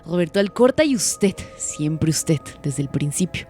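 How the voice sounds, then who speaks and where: A woman's voice: sits low, lively intonation, measured pace, dark tone. Actress doing raging bossy lady in a radio play, biting sarcasm, accusing, music behind. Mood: furious, betrayed. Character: furious, scornful, imperious woman accusing a priest. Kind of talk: audio drama acting. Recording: music underneath.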